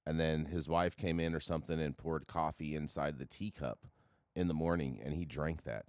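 A man's voice: a bass voice, fairly even intonation, moderate pace, no echo, clean studio sound. A man hosting a casual news talk show, speaking emphatically and often repeating his phrases.

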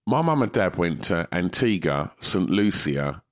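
A man's voice: deep voice, very animated, measured pace. A man, chatting on a podcast, a bit congested from a cold, rambling and swearing. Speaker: podcast co-host. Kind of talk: casual chat.

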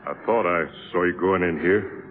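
A deep, subdued voice on a muffled old radio recording: a man acting a weary older friend. He speaks gently and haltingly, in 1950s radio drama style. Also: close to an everyday voice. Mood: gentle, subdued.

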